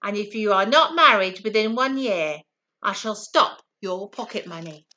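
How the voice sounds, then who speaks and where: A woman's voice: medium pitch, animated, normal speed, sharp tone, dull audio. A woman voicing a bossy, nagging older queen and mother from a storybook, imperious, proper and emphatic. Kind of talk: storybook character voice.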